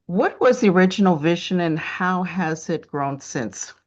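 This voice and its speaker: A woman from the Caribbean interviews her guest in a relaxed, chatty way. Her voice sits very low for a woman. The sound is dull and lo-fi. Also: a little slow. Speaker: host.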